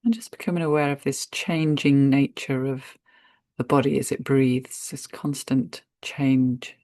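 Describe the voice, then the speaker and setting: Female meditation guide, very slow gentle delivery with spacious pauses, dry recording. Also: extremely low pitch, fairly even intonation, no room sound. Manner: unhurried, gentle, spacious pauses between short phrases.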